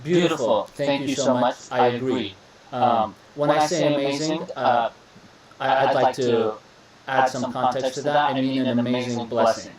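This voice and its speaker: A man's voice: mid-range voice, moderate pace, far from the mic, crowd noise. A young man from Iraq talks easily as a podcast host, looping back on his words with a laid-back, friendly flow. He sits a little away from the mic. The room rings with strong echo. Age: young adult. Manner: relaxed, repeats words, says "bro" and "beautiful" often. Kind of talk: casual interview chat. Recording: echoey room, voice a bit off-mic.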